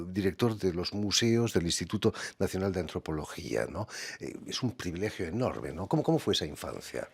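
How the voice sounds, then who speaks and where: Husky low-voiced Spanish radio host. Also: male voice. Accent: Spanish from Spain. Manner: measured, polite, frequent tag questions. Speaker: radio interviewer. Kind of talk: radio studio interview. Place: radio studio.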